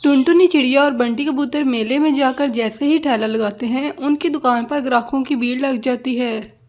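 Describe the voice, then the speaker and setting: A woman's voice: sits high, moderate pace, darkish tone. A woman narrating a scripted children's cartoon story.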